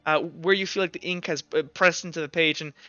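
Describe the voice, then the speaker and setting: A man's voice: on the high side, steady tone, fairly quick, sharp tone, dry recording, highs cut off, soft background music. A man improvising narration as game master of a tabletop game.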